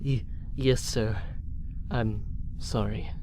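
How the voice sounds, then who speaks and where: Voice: medium pitch, steady tone, extremely slow, dark tone. An actor playing a hot-headed young resistance soldier with a dramatic, bitter delivery. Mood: chastened. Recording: faint background noise.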